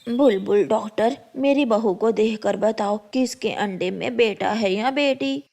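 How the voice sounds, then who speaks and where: A woman's voice: on the high side, a little slow, creaky, darkish tone. A woman voicing a spiteful, impatient old crow mother-in-law in a cartoon story, nagging and commanding. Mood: bossy. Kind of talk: Hindi cartoon story acting.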